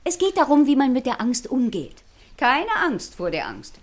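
An actress playing a flustered, nerdy expert lecturing children in stilted technical language in a radio play. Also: female voice, high voice, huge pitch swings, moderate pace, exaggerated voice. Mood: explaining. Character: flustered, nerdy woman expert teaching children about fear.

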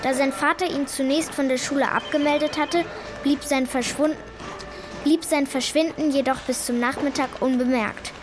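Woman playing an insistent, high-voiced young schoolgirl. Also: steady tone, normal speed, music in the background, close to an everyday voice. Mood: reading aloud. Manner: blunt, insistent, hesitant when unsure. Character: insistent, earnest young schoolgirl worried about friend. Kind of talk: German children's radio play acting.

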